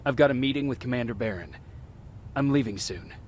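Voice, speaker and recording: Actor as a calm, mid-pitched male soldier. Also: slight background noise, natural voice. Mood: calm, dutiful. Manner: measured, earnest. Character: calm, dutiful young male resistance soldier. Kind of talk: video game dramatic acting.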